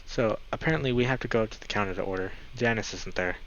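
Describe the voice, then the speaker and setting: A man's voice: fairly low pitch, fast, a bit bright, slight background noise. A man narrating a visual novel aloud for a let's play, occasionally stumbling and correcting himself. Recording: slightly dull mic, music behind. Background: clear game music.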